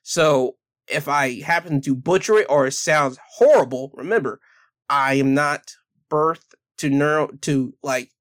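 A man's voice: a little high, a little slow, fairly bright tone. A man hosting a casual solo podcast, talking loosely with restarts and self-corrections, then reading news articles aloud. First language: English. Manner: rambling, self-correcting, chatty. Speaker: podcast host.

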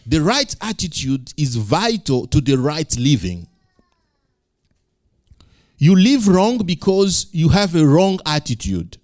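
A male pastor preaching in English, which is not his first language, building to loud emphatic peaks and repeating lines for effect. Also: on the high side, no room sound, studio quality. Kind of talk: sermon.

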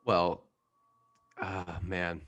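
A man's voice: low-pitched, flat delivery, very slow, fairly bright tone, highs cut off, exaggerated voice. A man playing an unhinged, blustering teacher in improv comedy, often repeating himself. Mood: smug, unrepentant. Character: unhinged male teacher forcing tests on captives.

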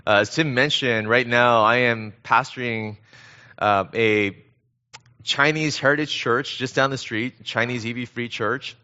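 A middle-aged American man preaching conversationally as a guest pastor, speaking in an earnest, halting way. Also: mid-range voice, fairly even intonation, sharp tone, muffled recording. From born in Korea, grew up in Southern California. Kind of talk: conversational sermon.

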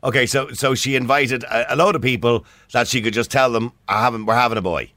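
Irish guy hosting a radio call-in show, fast, jokey, dry studio mic. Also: mid-range voice, steady tone, pushed and bright, no echo. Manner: quick, joking, interrupts callers. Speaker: radio talk show host. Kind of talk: phone-in radio chat. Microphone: studio microphone.

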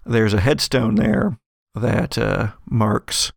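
An American man improvising descriptive narration as game master of a tabletop story, in a halting delivery. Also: on the low side, vocal fry, dry recording, clean studio sound. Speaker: game master of an actual-play audio drama.

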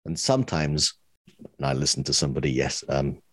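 Man, podcast co-host, hoarse, close dry sound. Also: rumbling low, steady tone, fairly quick, a bit bright, no echo. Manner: reflective, meandering. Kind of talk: casual podcast chat.

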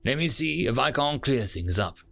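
A man voicing a calm, wry male furry alien who explains things in a measured, dry way. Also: medium pitch, gravelly, faint music behind.

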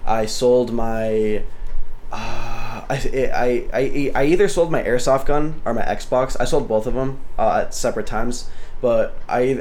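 A nineteen-year-old man, a guest in a casual chat, talking haltingly with many restarts. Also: mid-range voice, darkish tone, slight background noise.

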